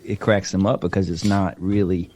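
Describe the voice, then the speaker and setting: A middle-aged man, a podcast guest, telling stories in relaxed casual chat close to the mic and laughing often. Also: low-pitched, moderate pace, slight background noise.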